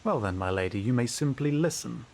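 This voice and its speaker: A man's voice: mid-range voice, animated, fairly quick, creaky, slight background noise. A man playing a smug, scheming, haughty knight in a fantasy audio roleplay, with a pompous, mocking drawl.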